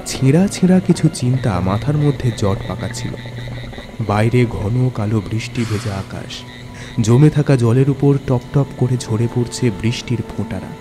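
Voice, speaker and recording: A man's voice: medium pitch, lively intonation, normal speed. A man narrating a scripted ghost story as a dramatic storyteller. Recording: music bed under narration.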